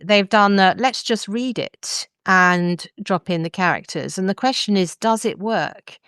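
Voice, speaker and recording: A woman's voice: mid-range voice, a little slow, fairly bright tone, smooth, dry recording, studio quality. A woman hosting a podcast, discussing books in an articulate, explanatory way. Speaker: podcast host. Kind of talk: thoughtful podcast book discussion.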